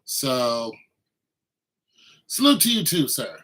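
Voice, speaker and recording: Bright-voiced man in his fifties. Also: medium pitch, very animated, takes it slowly. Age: 50s. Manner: laid-back, rambling, talks to viewers. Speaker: livestream host. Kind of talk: casual livestream chat.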